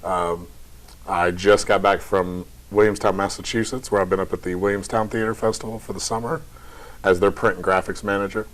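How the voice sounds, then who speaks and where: A young man from Kentucky with a very deep voice, chatting casually in a TV interview as a theater director. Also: a little fast, low-quality recording. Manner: relaxed, chatty, light laughs.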